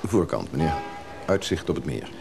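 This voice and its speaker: An actor playing a courteous, formal hotel receptionist in a radio drama. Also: male voice, very deep voice, animated, normal speed, vocal fry, over music, heavily acted. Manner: polite, formal. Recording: noisy radio play recording.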